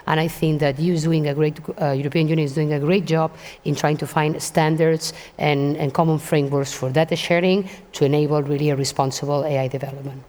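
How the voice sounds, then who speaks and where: A woman from Spain, a Spanish speaker, speaks on a panel in a very low voice. She is earnest and often repeats words as she searches. Her speech carries a long hall reverb. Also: darkish tone. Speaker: government vice-minister on a panel. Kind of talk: panel discussion.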